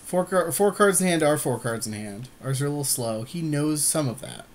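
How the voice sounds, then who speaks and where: A man's voice: on the high side, animated, fairly quick. A man co-hosting a podcast, casually and agreeably talking through a card game with his partner. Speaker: podcast co-host. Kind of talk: casual chat while playing a card game. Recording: clear background noise.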